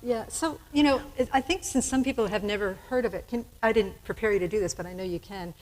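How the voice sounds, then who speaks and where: A woman's voice: medium pitch, fast. A woman minister talks easily from a church stage, laughing now and then as she banters with her guest and the congregation. Her voice sits in an ordinary middle range. The sound rings with the long reverb of a big sanctuary. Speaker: minister hosting a church service. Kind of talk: casual on-stage interview. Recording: hall reverb from a large sanctuary.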